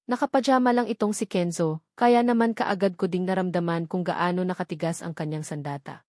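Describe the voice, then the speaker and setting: A woman's voice: lowish voice, fairly quick, darkish tone, no room sound, studio quality. A woman with a Filipino accent reading a scripted first-person story as an audiobook narrator.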